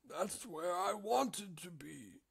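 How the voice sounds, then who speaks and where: A man voicing a frail, weary old cattle drover who speaks slowly and high. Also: expressive, gravelly, fairly bright tone, husky, exaggerated voice. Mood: content. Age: older.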